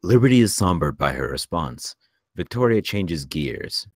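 Casting: male narrator, extremely deep voice, reads screenplay action lines, very dry recording. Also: very animated, measured pace, no echo. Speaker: narrator reading stage directions. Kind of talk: scripted narration for a table read.

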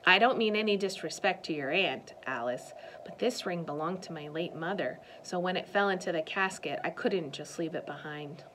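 A woman voicing a bossy, muscle-bound neighbor woman who talks forcefully and indignantly, in a storybook reading. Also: fairly low pitch, normal speed, few pauses, background noise, lightly acted. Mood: explaining.

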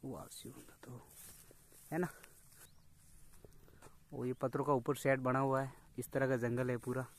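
A man's voice: medium pitch, level tone, a little slow, long pauses. A man explains a roadside shrine to the camera in a friendly, step-by-step way, often checking in with his listener. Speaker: guide showing a shrine.

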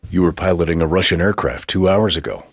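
An actor playing a stern, skeptical military officer in a video game, questioning a prisoner in a dry, formal way. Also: male voice, low-pitched, fast, breathy edge, no echo.

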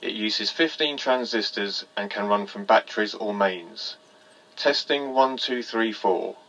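A man giving a measured, plainly spoken demonstration and test recording, with a dull tape-recorder sound. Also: lowish voice, fairly bright tone. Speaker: presenter demonstrating vintage audio equipment.

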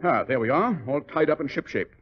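An actor playing a brisk, teasing gentleman detective with a British accent, crisp, commanding and wry, in 1940s radio style. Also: on the high side, animated, faint music behind. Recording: dull, noisy old radio recording.

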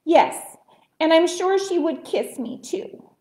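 A woman's voice: very high voice, lively intonation, takes it slowly, warm tone, pitched above the performer's own voice, exaggerated voice. A woman voicing a lonely, eager little bird from a children's story, with a plaintive, pleading manner. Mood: earnest. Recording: roomy echo.

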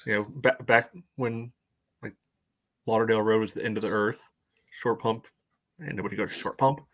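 An American man with a fairly low voice talks soccer casually as a podcast co-host. He speaks conversationally from a room at home on a video call. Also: fairly even intonation, moderate pace, fairly bright tone.

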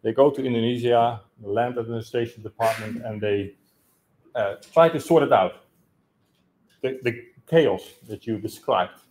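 A man in his thirties standing in a conference room, asking a long spontaneous question in a loud voice with many hesitations, recorded by a room camera. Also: mid-range voice, normal speed, poor audio. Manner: loud, hesitant, gesturing as he talks. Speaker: audience member asking a question. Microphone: room camera, no handheld mic.

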